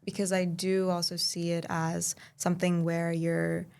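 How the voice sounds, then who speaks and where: A woman from Turkey and Cyprus, raised in Saudi Arabia, speaking as a poet guest in a relaxed interview and pausing often to think. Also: on the low side, vocal fry, smooth. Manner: thoughtful, pauses often with "um". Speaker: podcast guest, spoken word poet. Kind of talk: casual conversational interview.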